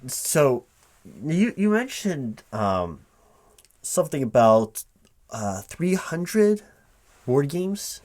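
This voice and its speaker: A man playing a polite, flustered hiring manager in an improvised interview roleplay, speaking aloud in a halting, rambling, stammering way. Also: a little high, big pitch swings, a little mellow, full character voice. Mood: baffled, curious. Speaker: recruiting manager in a one-sided roleplay.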